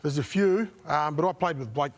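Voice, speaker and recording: A man, a former player on a panel, talking in a relaxed way with lots of fillers and "mate". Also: on the high side, moderate pace. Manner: relaxed, says mate often, many fillers. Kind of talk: casual panel chat.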